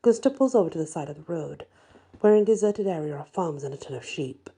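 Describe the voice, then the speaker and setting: Soft, dark-voiced woman reading a story. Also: fairly low pitch, animated, fast talker. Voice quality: soft, husky, breathy. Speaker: audiobook-style story reader. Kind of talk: ASMR first-person fantasy story narration.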